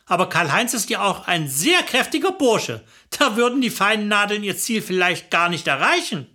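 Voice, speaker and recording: Older woman, comic monologue, chatty, chuckling, lively pitch. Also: moderate pace. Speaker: comic storyteller.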